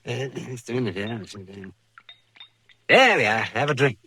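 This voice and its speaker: An actor playing a crusty older American frontier doctor, muttering and rambling, in an old radio western. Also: male voice, mid-range voice, lively intonation, measured pace, vocal fry, bright, forceful tone, slight background noise, exaggerated voice. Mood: hospitable. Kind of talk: 1950s American radio drama acting.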